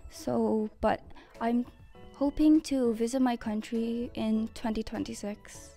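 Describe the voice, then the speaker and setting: Teen girl, slightly high, unhurried, calm, faint music. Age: teens. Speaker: student. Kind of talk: school interview answers. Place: school library indoors.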